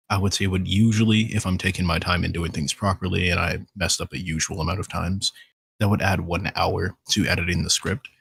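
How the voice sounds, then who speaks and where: A man's voice: sits low, gravelly, fairly bright tone, husky, dry recording, poor audio. A man speaking as a voice actor on a podcast panel, explaining things casually.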